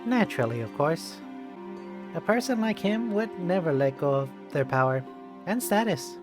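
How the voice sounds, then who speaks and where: A streamer gives an expressive read-aloud of a visual novel. The voice sits at a medium pitch, with game music clearly playing behind it. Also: moderate pace.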